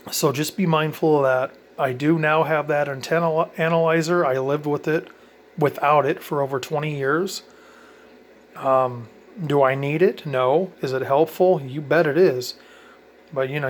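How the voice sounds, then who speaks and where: A man talks through his radio setup in a loose, conversational way, dropping ums and correcting himself as he goes. His voice sits a bit high for a man. A faint outdoor ambience runs under the recording. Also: slight background noise. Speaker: hobby radio vlogger. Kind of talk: casual how-to rambling.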